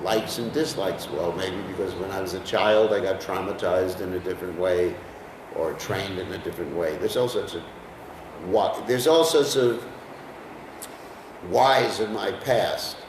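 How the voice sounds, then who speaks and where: A man's voice: low-pitched, unhurried, roomy sound, recorded from a distance, noisy background. An American man teaching a scripture class in a conversational way, often putting questions to his listeners. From United States. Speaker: lecturer on scripture.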